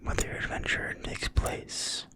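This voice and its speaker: An ASMR host reading a game manual aloud in a quiet, breathy, unvoiced whisper with deliberate phrasing. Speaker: ASMR video host and reader.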